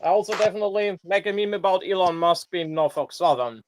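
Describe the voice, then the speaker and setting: A man's voice: high-pitched, fairly even intonation, quick pace, a little hiss or hum. A man hosting a casual livestream, joking and rambling with plenty of fillers. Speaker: livestream host.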